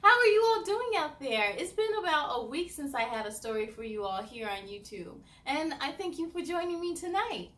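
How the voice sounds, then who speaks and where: A woman hosting a children's story time, reading aloud and addressing young viewers in an animated, sing-song way. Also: high-pitched, normal speed, hardly any pauses. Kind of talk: children's read-aloud with chatty asides.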